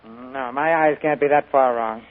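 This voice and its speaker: A man's voice: medium pitch, fairly even intonation, moderate pace. Polished middle-aged radio host man. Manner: polished, genial, teasing. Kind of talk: scripted on-air interview. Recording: tinny 1940s radio broadcast.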